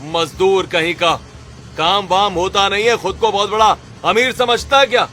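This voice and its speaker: A man voicing a cruel factory owner, scolding and threatening loudly, in a cartoon story. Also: high-pitched, steady tone, moderate pace. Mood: angry, contemptuous. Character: cruel bullying male factory owner, contemptuous. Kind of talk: Hindi cartoon story dialogue.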